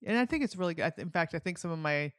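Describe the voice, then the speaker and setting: A woman's voice: lowish voice, expressive, racing, fairly bright tone, smooth, no echo, clean studio sound. A middle-aged woman hosting a casual book-chat interview, talking in a chatty way, circling back mid-sentence and often laughing. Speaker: podcast host.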